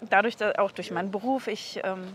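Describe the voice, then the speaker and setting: A woman in her thirties talks as a casual interviewer. Her voice sits at an ordinary middle pitch. She is recorded outdoors on a clip-on mic. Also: a little fast. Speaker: interviewer, hobby aquarium video creator. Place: outdoors beside an expo building. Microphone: clip-on wireless mic on the chest.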